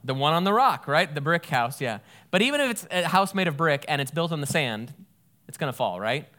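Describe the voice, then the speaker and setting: A man's voice: fairly high pitch, sing-song, a little fast, bright, forceful tone. A man, a pastor giving a conversational sermon and reading scripture aloud. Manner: conversational, explains Greek words with a folksy aside.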